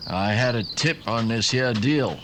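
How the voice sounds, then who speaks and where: An actor playing an easygoing undercover ranger in a western radio drama, talking in a genial, folksy cowboy way. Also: male voice, medium pitch, unhurried, a bit bright, a little hiss or hum, lightly acted. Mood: friendly.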